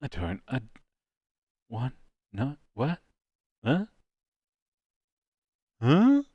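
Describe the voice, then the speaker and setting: A man's voice: medium pitch, huge pitch swings, very slow, mellow, breathy edge, dry recording, highs cut off. A man hosting a live stream, chatting casually and joking with friends. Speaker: host of a live dubbing stream.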